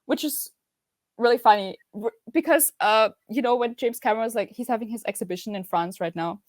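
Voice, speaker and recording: A woman's voice: on the high side, fairly quick, no room sound, clean studio sound. A young woman from Austria, German as her first language, speaking English with an Austrian German accent, chatting as a podcast guest over a video call, bubbly and laughing often. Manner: bubbly, laughs often, many restarts and fillers.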